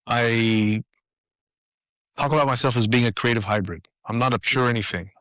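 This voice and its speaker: A man's voice: mid-range voice, moderate pace, a bit bright, no room sound, poor audio. A man in his fifties from Greece, a design leader answering interview questions in a reflective way with restarts, recorded in an apartment. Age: 50s.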